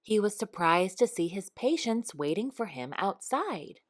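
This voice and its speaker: A woman reading a children's picture book aloud in an expressive storytime style. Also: medium pitch. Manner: expressive, unhurried. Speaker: storytime reader.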